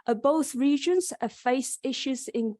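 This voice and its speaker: A woman's voice: high-pitched, a little slow, dark tone, no echo, studio quality. A young woman with a Yorkshire accent giving an academic conference presentation, explaining step by step with frequent ums and restarts.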